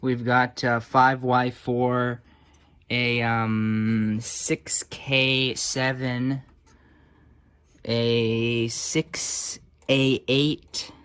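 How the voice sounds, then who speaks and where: A man's voice: mid-range voice, level tone, extremely slow, a bit bright, smooth. A man giving casual, off-the-cuff hobbyist commentary at a workbench, with wry, sarcastic asides muttered along the way. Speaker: hobbyist restorer and video host.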